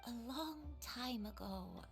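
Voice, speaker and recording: A woman voicing a frail, gentle old granny in a video game, halting and wistful as she speaks. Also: fairly high pitch, lively intonation, soft background music.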